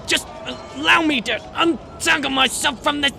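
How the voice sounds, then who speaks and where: A man's voice: extremely high pitch, moderate pace, vocal fry, pushed and bright, faint music behind, a faint crowd, full character voice. A man playing a bumbling, servile wooden nutcracker captain with exaggerated, flustered eagerness in a fantasy audio drama.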